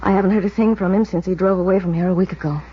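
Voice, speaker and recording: An actress playing the anxious, guarded American wife of a missing man, halting with restrained worry, in 1950s radio drama style. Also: fairly low pitch, steady tone, breathy edge. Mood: worried, defensive. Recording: dull vintage radio recording.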